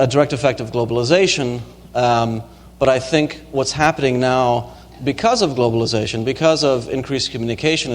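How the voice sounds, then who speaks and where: Lecturer answering questions in a hall. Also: male voice, mid-range voice. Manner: hesitant, many ums and restarts. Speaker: university lecturer. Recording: reverberant lecture hall recording.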